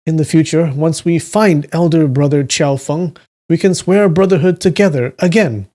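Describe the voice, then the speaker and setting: A man's voice: on the high side, moderate pace, warm tone, voice pushed up, natural voice. A man voicing a tipsy, polite young man in an audiobook, speaking up boldly and earnestly. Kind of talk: audiobook character voice.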